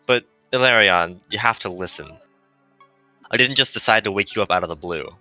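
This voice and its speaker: A man voicing a gentle, melancholy female shuttle AI, earnestly explaining as it fades in a visual novel. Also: fairly low pitch, fairly quick, soft background music. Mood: grave warning.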